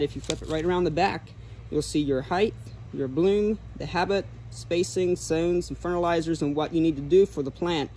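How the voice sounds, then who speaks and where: A man's voice: on the high side, a little mellow. A young man giving an informal, explanatory tour as a guide, recorded on a handheld camera. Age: young adult. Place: large greenhouses and garden center yard. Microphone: camera or phone a few steps away. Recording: clear background noise, handheld camera video.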